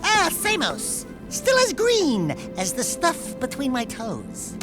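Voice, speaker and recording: An actor voicing a wisecracking little furry animal sidekick in a video game, brash and sarcastic. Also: male voice, very high voice, huge pitch swings, slow pace, over music, heavily acted. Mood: snarky, teasing. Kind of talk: video game comic-relief acting.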